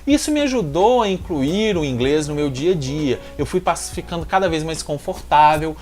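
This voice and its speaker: A man from Minas Gerais with a Mineiro accent, speaking straight to the viewer as he gives energetic advice in a vlog. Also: fairly high pitch, moderate pace, soft background music. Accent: Mineiro Brazilian Portuguese. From Minas Gerais, Brazil. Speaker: YouTuber giving language-learning tips. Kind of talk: direct-to-camera advice vlog.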